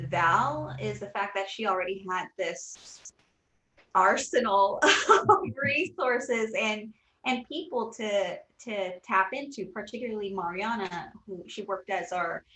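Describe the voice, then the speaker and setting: A woman's voice: mid-range voice, measured pace, sharp tone, a little hiss or hum. Puerto rican woman on a video call, kinda echoey room, lots of ums, earnest. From Puerto Rico. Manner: earnest, many fillers and restarts. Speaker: animation creator interviewee. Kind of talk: casual interview. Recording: roomy echo.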